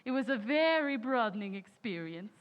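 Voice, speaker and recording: A grand society matron, acted by a woman in an old-time radio comedy, gushing and pleased with herself. Her voice is high and swoops theatrically with hoity-toity affectation. The recording is dull and lo-fi. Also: animated, normal speed, lightly acted. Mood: gushing, haughty.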